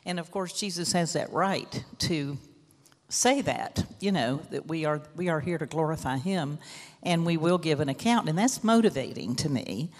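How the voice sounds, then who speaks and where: A woman with a loud voice sharing a casual church testimony into a microphone, chatty and full of fillers. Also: low-pitched, lively intonation, normal speed, faint music behind. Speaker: church member sharing a reflection. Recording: echoey hall.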